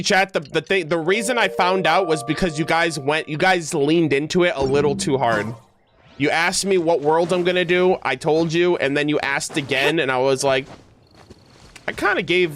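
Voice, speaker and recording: A man's voice: on the high side, a bit bright, a little hiss or hum. A man streaming video games, chatting casually with viewers in informal, teasing gamer slang. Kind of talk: casual livestream chat with viewers.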